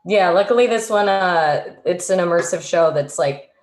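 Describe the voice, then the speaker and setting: A woman with a notably low voice chats informally as one of a group of actors. Her speech is loose and peppered with "like". The room around her rings with echo, as on a home video call. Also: normal speed. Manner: informal, frequent "like". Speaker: stage actress. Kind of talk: casual group chat.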